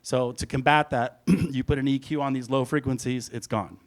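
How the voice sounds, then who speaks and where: A man's voice: mid-range voice, fairly even intonation, normal speed. A man, a music producer, giving an informal, rambling hands-on presentation, talking through each step aloud as he goes. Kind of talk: casual hands-on audio production talk.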